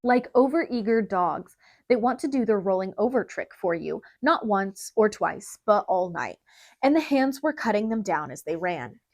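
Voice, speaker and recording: A woman's voice: medium pitch, no echo. Woman narrating a dramatic story, dry recording. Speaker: audiobook narrator.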